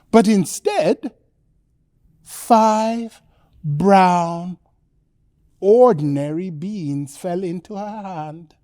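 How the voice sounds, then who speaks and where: A woman telling a children's bedtime story in a theatrical, deliberate way with dramatic pauses. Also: mid-range voice, mellow, dry recording, studio quality.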